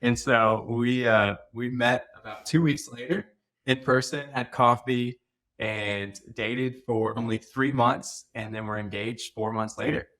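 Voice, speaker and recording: A man's voice: medium pitch, fairly even intonation, a little slow, fairly bright tone. A young man talking casually as a podcast guest, often restarting his phrases as he rambles along.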